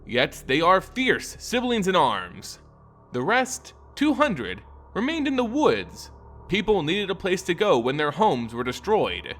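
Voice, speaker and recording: A man narrating a scripted fantasy story in a dramatic, solemn and measured storytelling manner. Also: high voice, huge pitch swings, a bit bright, soft background music. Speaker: lore narrator.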